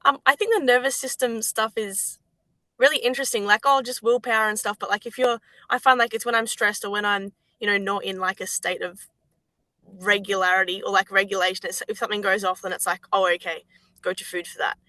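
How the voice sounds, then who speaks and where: Halting, rambling woman on a call. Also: medium pitch, fairly even intonation, sharp tone, no echo, low-quality recording. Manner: rambling, halting, lots of fillers. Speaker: workshop participant. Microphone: video call.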